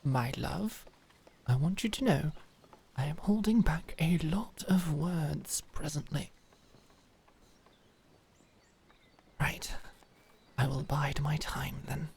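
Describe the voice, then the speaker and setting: A woman playing a proud, tender lady knight, speaking in a hushed, breathy, intimate and restrained way in an ASMR medieval roleplay. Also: low voice, takes it slowly, a little hiss or hum, heavily acted. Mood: restrained annoyance.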